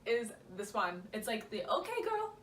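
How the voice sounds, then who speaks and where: A woman's voice: a little high, sing-song, normal speed. A young woman chats about books as if with a close friend, gushing and slangy, leaning hard on her words. She sounds a little distant from the microphone in an echoey room, with faint noise underneath. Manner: gushing, slangy, emphatic, playful. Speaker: book vlogger, co-host. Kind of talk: casual chatty book discussion.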